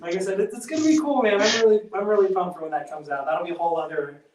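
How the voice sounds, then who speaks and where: Echoey, distant man, higher-voiced and excitable. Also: a crowd in the background. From United States. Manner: excitable, halting, slangy. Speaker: band drummer, interview guest. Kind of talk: casual rambling interview chat. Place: echoey room. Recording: echoey room, distant from mic.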